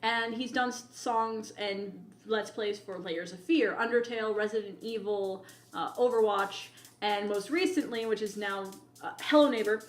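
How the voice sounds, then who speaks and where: A woman co-hosting a casual podcast, telling anecdotes in an animated way and often interrupting herself. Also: medium pitch, moderate pace. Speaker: podcast co-host. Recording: roomy echo, music playing behind.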